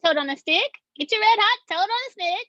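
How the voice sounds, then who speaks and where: Woman as old granny street hawker, extremely high, piercing, dull lo-fi sound, comedy table read. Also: lively intonation, a little fast, bright, forceful tone, no room sound, heavily acted. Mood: eager hawking. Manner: street vendor's hawking call. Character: old granny hawking toad-on-a-stick snacks. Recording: dull lo-fi microphone.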